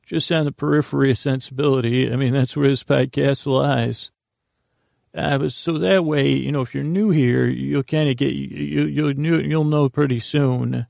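A man hosting a sleep podcast, rambling through a halting bedtime monologue full of restarts and drawn-out pauses. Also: medium pitch, steady tone, vocal fry, a little mellow, no echo, clean studio sound.

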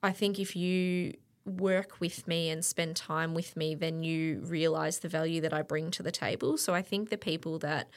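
An Australian woman in her thirties talks through her career in a relaxed podcast chat. Her voice sits a little low, with a gravelly, creaky edge. She reflects openly and drops in plenty of ums along the way. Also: moderate pace, hardly any pauses. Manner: candid and reflective, with frequent fillers. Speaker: interview guest, sports event operations director. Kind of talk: casual podcast conversation.

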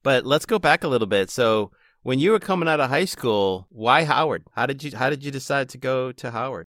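A man's voice: mid-range voice, animated, brisk, fairly bright tone, dry recording, studio quality. A man with an American accent hosting a casual interview, asking informal, encouraging questions. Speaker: interview host.

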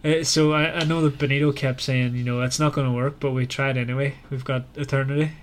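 A man's voice: mid-range voice, little pitch movement, quick pace, a little hiss or hum. A man hosting a casual book discussion in a conversational, questioning way. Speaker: podcast host.

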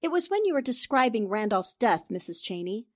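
A woman playing a shrewd, composed newspaper reporter, measured and accusatory, in 1940s-style radio drama. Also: medium pitch, big pitch swings. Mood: explaining, grave.

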